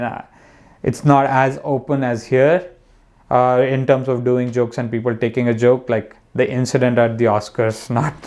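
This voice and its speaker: A man's voice: medium pitch, darkish tone. A young man from India, a comedian guest, talking casually with many restarts and fillers. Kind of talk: casual conversation.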